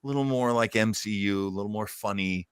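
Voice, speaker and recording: A man hosting a casual podcast chat, enthusiastic and quick to prod others with questions. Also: fairly low pitch, clear, resonant tone, dry recording, studio quality. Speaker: podcast host. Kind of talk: casual chat about old radio and films.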